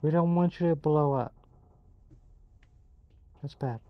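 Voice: mid-range voice, slow, dull audio. A guest on a game stream, joking and teasing the host in casual chat. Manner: teasing, playful.

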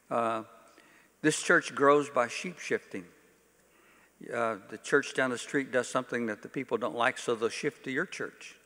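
A man's voice: mid-range voice, pushed and bright. An older man, a pastor, giving an informal church teaching talk to an audience with folksy, dry-humoured asides. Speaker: pastor leading a workshop. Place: large hall, speaking to a conference audience. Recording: reverberant hall, long echo.

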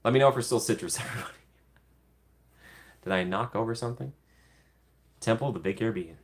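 A man's voice: lowish voice, fast, a little hiss or hum. Chatty young man on a livestream. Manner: chatty, reads and answers viewer comments. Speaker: livestream host. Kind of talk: casual livestream chat. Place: small room at a desk.

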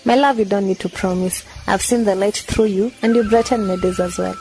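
A woman's voice: mid-range voice, steady tone, a little fast, over music, close to an everyday voice, low-quality recording. A woman playing a teasing, loving young sweetheart in a radio drama, with a Kenyan accent.

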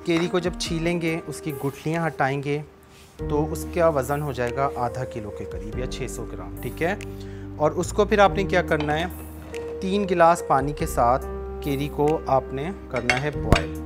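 TV chef explaining a recipe step by step. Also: male voice, on the high side, expressive, measured pace. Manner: explains steps patiently, switches between Urdu and Sindhi. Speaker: TV cooking show host. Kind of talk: cooking demonstration with phone-in calls. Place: TV studio kitchen set. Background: background music.

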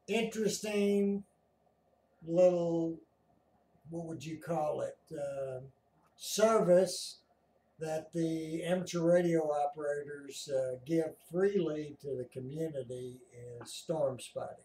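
Older American male hobbyist host, unhurried and halting, recorded a little distant in a roomy small den. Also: on the high side, mellow. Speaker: amateur radio operator, video host. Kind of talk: casual explanatory chat. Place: small home radio room, indoors.

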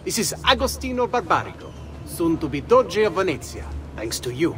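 An actor playing an effusive, theatrical Venetian ally in a video game. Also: male voice, high-pitched, sing-song, normal speed, a little hiss or hum. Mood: eager, welcoming.

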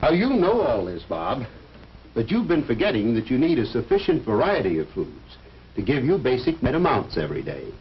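A man's voice: medium pitch, huge pitch swings, moderate pace, husky, full character voice. A man playing an earnest, fatherly coach and biology teacher who lectures in 1950s educational film style. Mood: earnest lecturing. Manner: didactic, earnest, explanatory. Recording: muffled, noisy old educational film soundtrack.

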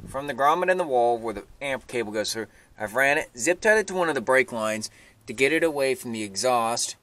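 A man's voice: mid-range voice, a bit bright. A middle-aged man giving a casual how-to narration into a handheld camera outdoors. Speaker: vlogger showing his truck project. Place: outdoors by a vehicle in a residential yard. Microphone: handheld camera mic at arm's length. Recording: clearly noisy handheld outdoor recording, clicks.

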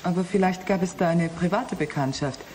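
A woman's voice: on the low side, a little fast, darkish tone, a little hiss or hum, natural voice, low-quality recording. An actress plays a professor's dutiful secretary in a science fiction radio play. She sounds polite and somewhat flustered, choosing her words with hesitation. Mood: hesitant. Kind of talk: German science fiction radio drama acting.

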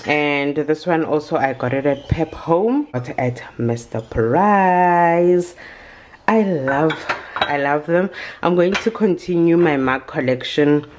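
A woman in her thirties talks slowly and casually through a home vlog, her voice low and easy. She fills pauses with ums as she goes. Faint room noise and clinking dishes sit behind her. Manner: chatty, relaxed, frequent ums. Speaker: vlogger. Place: home kitchen, indoors.

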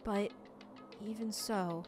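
A woman hosting a let's play, chatting casually and reading game dialogue aloud in an expressive, conversational way. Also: mid-range voice, takes it slowly. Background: game music.

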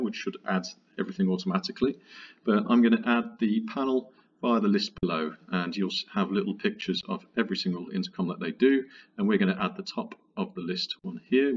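A man narrating a software tutorial in a methodical, explanatory, informal way. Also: sits low, slightly rough, far from the mic, muffled recording. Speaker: product tutorial presenter. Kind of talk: software walkthrough narration, conversational.

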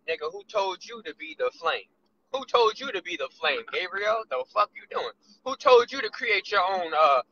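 A man in his thirties vlogging from inside a car, musing loosely with drawn-out asides. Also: fairly high pitch, bright, forceful tone, a little hiss or hum. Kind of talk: casual rambling monologue. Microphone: phone camera on dashboard. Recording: lo-fi phone audio.

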